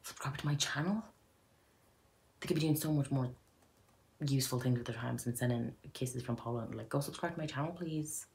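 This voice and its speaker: Low-voiced chatty Irish woman. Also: a little fast, pauses often, darkish tone, slight background noise, rough recording. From Ireland. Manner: chatty and playful, with whispered asides. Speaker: YouTuber. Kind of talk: casual reaction commentary. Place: indoors at home.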